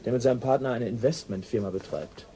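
Cheeky, mellow-voiced male detective played by an actor. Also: mid-range voice, fast talker, close to an everyday voice, poor audio. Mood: matter-of-fact. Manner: bantering, laconic. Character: cheeky, self-assured male junior police detective. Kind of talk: naturalistic radio drama dialogue.

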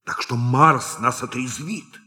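An actor in a radio drama playing an idealistic, authoritative spaceship captain with a deliberate, emphatic delivery. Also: male voice, medium pitch, steady tone, bright, forceful tone. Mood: earnest, hopeful. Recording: reverberant hall-like studio radio play.

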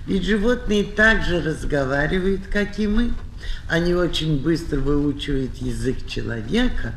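A man's voice: on the high side, vocal fry, a bit bright. A man giving an educational talk to children as an animal theatre guide, affectionate and fond of diminutives, on an old record. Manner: affectionate, uses pet names and diminutives. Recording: echoey, noisy old flexi-disc recording. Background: animal sounds.

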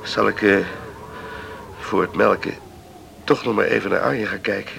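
Earnest farmer for radio drama, low and heavily creaky, sober delivery, faint background noise. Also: male voice, steady tone, moderate pace, a bit bright. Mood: practical. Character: earnest, plain island farmer, husband, concerned. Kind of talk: Dutch radio play acting.

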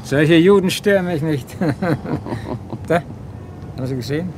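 An actor playing a smug, prejudiced passerby in a radio play. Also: male voice, fairly high pitch, normal speed, lightly acted. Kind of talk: 1960s radio play acting. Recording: noisy street ambience. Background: outdoor traffic noise.

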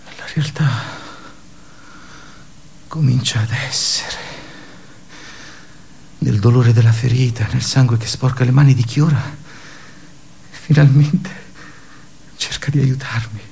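A tormented male office worker delivers a radio drama monologue. His medium-pitched voice is full of creak and moves haltingly, with intense, broken phrasing. Faint noise sits behind him. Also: steady tone. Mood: hushed, bitter relief. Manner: halting, intense, shifting from hushed murmurs to anguished outbursts. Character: wounded, despairing office worker recounting his suicide attempt.

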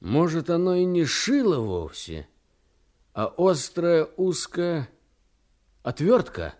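Brisk detective with lively intonation. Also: male voice, on the high side, exaggerated voice. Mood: businesslike. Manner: clipped, questioning, commanding. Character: brisk, businesslike male Soviet police detective. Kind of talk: Russian radio drama acting.

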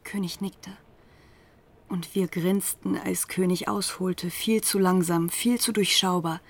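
A woman's voice: fairly low pitch, fairly even intonation, measured pace. An actress playing a woman who recalls her cruel youth gang in radio drama narration, in terse fragments. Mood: cool, observant.

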